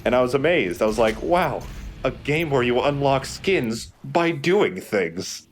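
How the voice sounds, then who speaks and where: A man's voice: medium pitch, animated, measured pace, noisy background. A man giving wry, rambling, sweary commentary as he plays a video game. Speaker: let's play host and player. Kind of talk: casual gameplay commentary.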